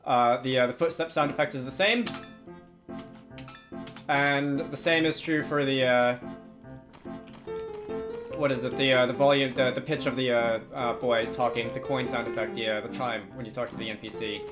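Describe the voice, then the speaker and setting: A man's voice: mid-range voice, steady tone, normal speed, long pauses, over music. A man presenting a casual, unscripted screencast tutorial, hesitantly thinking aloud as he works. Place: indoors at a computer.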